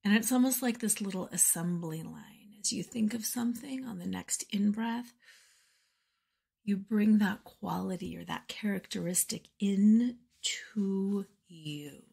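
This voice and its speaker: A woman leading a guided meditation in a soft, breathy, soothing voice with measured phrasing and pauses. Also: medium pitch. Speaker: meditation guide and podcast host.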